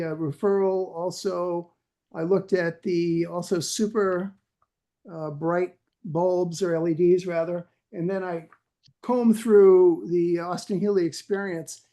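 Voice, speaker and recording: A man's voice: high-pitched, dark tone. Hesitant man chatting on a call. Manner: halting, searching for words. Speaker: club member, classic car owner. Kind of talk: casual chat. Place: remote conference call. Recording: conference call audio.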